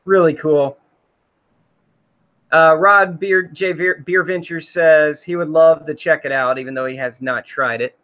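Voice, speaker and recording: A man's voice: fairly high pitch, steady tone, unhurried, fairly bright tone. A man hosting a casual livestream, rambling with many fillers while he reads out chat comments. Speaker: host.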